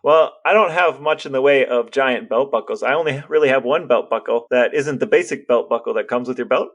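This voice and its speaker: A man's voice: mid-range voice, a little fast, highs cut off. A man co-hosting a podcast in casual chat, with a wry, dry sense of humour. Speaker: podcast co-host.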